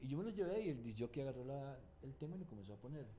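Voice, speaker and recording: Fast-talking older man from Cuba telling stories. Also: medium pitch, animated, mellow, a little hiss or hum. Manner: rambling storyteller with many restarts. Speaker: guest singer-songwriter. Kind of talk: anecdotal interview talk.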